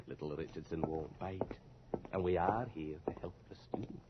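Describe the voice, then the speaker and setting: A man's voice: sits low, normal speed, heavy background noise. An actor playing a worldly, ruthless medical assistant in a radio drama, sardonic and coaxing in his talk. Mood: suave, placating. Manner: smooth-talking, sardonic, coaxing. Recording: dull, lo-fi radio drama recording.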